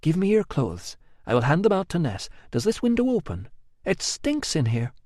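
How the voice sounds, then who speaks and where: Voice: medium pitch, wildly swooping pitch, moderate pace, darkish tone, no echo, studio quality, voice pushed up. A narrator voicing a blunt, practical young woman who speaks curtly and firmly. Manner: curt, matter-of-fact, firm. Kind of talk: audiobook acting.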